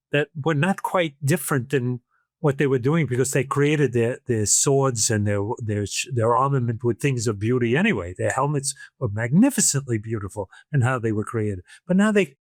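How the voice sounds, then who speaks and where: A man's voice: mid-range voice, dry recording, clean studio sound. An older man hosting an interview show, talking in a rambling storytelling way with many restarts. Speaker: podcast host. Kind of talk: casual interview chat.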